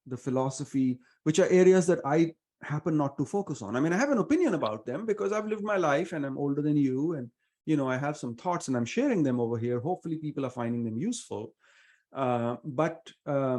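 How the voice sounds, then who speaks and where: Casting: middle-aged Indian-accented man, warm low-key tone, earnest guest answering with pauses and fillers. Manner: earnest, measured, many fillers. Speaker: venture investor guest. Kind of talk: reflective interview answers.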